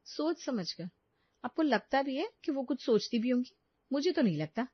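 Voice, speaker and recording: A woman's voice: sits high, very animated, normal speed, dry recording, muffled recording, voice pushed up. A woman voicing a frank, outspoken young working mother and daughter-in-law in a cartoon story. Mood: annoyed, sarcastic.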